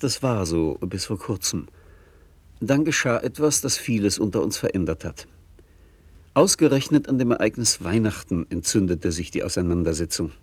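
A middle-aged father in a late-sixties radio drama. His voice is fairly low and husky, and he speaks in a measured, reflective way, conciliatory and thoughtful. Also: a little mellow. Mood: reflective narration. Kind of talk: late 1960s German radio play acting, narration and dialogue.

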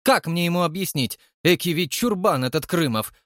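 An imperious old chairman of a secret society in an audiobook drama, acted out by a male narrator. His voice climbs high and swings widely as he scolds and insists, full of indignation. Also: lively intonation, moderate pace, fairly bright tone, no echo, clean studio sound. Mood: angry.

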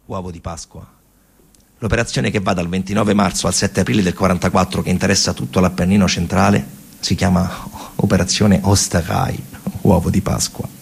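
A man narrating a radio theatre monologue dramatically, in colloquial, short phrases with repetitions and a husky voice. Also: deep voice. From Italy. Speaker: storyteller actor.

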